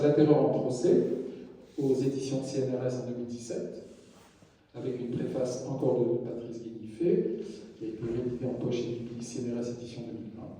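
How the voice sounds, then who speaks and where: A man's voice: medium pitch, warm tone. A man hosting a public lecture and giving a formal introduction of the guest, reading a list of titles with small restarts. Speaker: host introducing a guest speaker. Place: large lecture hall. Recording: echoey hall, mic picked up from a distance.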